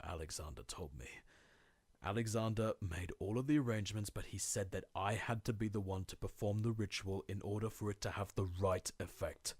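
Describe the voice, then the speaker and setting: A man's voice: lowish voice, husky, dry recording. A man playing a tormented, guilt-ridden diarist sinking into madness, in an intense, formal horror-game monologue. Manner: theatrical, formal old-fashioned diction, intense. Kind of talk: dramatic monologue, video game horror fandub.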